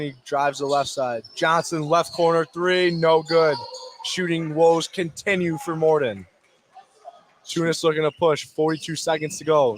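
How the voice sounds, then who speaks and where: A man doing live play-by-play radio commentary of a high school basketball game in short, clipped phrases. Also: noisy background. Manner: clipped phrases calling action as it unfolds. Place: indoor basketball gym, courtside.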